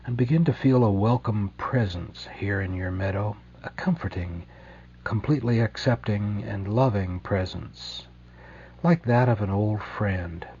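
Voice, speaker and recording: A man leading a guided meditation in a slow, soothing, gentle way, leaving deliberate pauses between phrases. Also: fairly low pitch, muffled recording, slight background noise.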